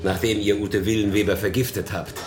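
An actor playing a laconic, sardonic police investigator in a radio crime drama, speaking in an unhurried deadpan. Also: male voice, fairly low pitch, natural voice. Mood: dry, menacing. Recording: roomy echo, music underneath.

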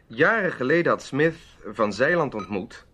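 A man's voice: mid-range voice, big pitch swings, moderate pace, fairly bright tone, husky. A man acting an easygoing, shrewd adventurer in a Dutch radio drama, explaining himself in a genial, conversational manner. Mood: confiding, composed.

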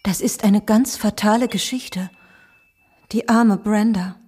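A woman's voice: mid-range voice, fairly even intonation, slow, darkish tone, breathy edge, faint music behind. An actress playing an aloof, theatrical society mother in a radio crime drama, languid and guarded. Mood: aloof, evasive.

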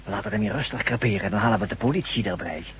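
A man acting a hospitable but wary and pragmatic, excitable friend in a radio play. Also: fairly low pitch, racing, close to an everyday voice, rough recording. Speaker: actor in a radio drama. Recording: hissy, humming radio recording.

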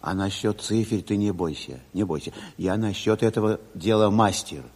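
An actor playing a simple, eager, barely literate village official in a radio drama, folksy and flustered, repeating himself. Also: male voice, lowish voice, normal speed, darkish tone, slightly rough. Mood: eager, sheepish. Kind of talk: Soviet radio drama acting. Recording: hall reverb of 1970s radio play.

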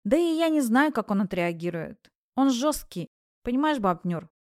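A vulnerable young woman acted by a female narrator in audiobook dialogue. Her fairly high voice is earnest and worried, as if confiding in someone. It is recorded very dry. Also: very animated, normal speed, no room sound. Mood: fearful, hesitant. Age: young adult. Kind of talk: audiobook character voice.

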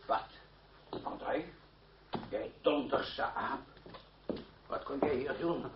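An older man acting in a radio drama as an irascible, wounded aristocratic uncle who scolds gruffly. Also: medium pitch, slow, heavy background noise, natural voice. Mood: indignant, scolding. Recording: dull old radio drama recording.

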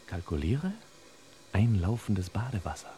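An actor playing a suave, pompous master detective with mock-formal deadpan quips in a vintage radio crime comedy. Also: male voice, on the low side, measured pace, a little hiss or hum. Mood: mock-formal, dry. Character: suave, pompous, wisecracking master sleuth in charge. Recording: reverberant old radio play recording.

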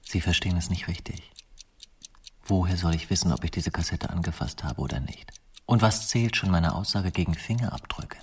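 A man playing an arrogant, composed literature lecturer under interrogation, husky and coolly defiant, in a radio crime drama. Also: deep voice, moderate pace. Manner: terse, evasive, coolly defiant.

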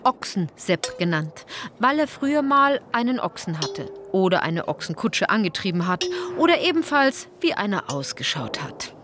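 A woman's voice: medium pitch, very animated, normal speed, noisy background, lightly acted. An actress playing a sardonic female tour guide in a German radio play, addressing listeners directly with theatrical irony. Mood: mocking. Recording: music under the voice. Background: music.

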